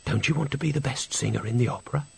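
A man voicing a mysterious, pleasant, softly coaxing stranger in an audiobook. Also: medium pitch, unhurried, vocal fry, slightly rough, no echo, full character voice.

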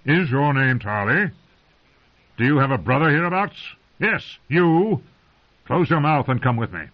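A man's voice: fairly high pitch, expressive, unhurried, voice pushed up. A man voicing a stern, impatient old male commander who growls and scorns. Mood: scornful. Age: older. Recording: muffled lo-fi recording.

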